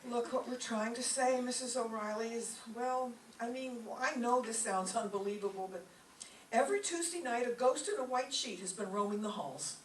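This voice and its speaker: A woman's voice: a little high, normal speed, close to an everyday voice. A woman playing a sensible, exasperated young woman, the level-headed roommate in an old-time radio comedy. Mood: hesitant, apologetic. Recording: echoey room, distant mic, faint noise.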